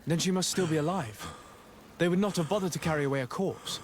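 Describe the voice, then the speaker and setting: An actor playing a reasonable, diplomatic young nobleman in a video game cutscene, speaking in a formal, measured and earnest way. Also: fairly high pitch, a little hiss or hum, close to an everyday voice. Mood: calm, curious.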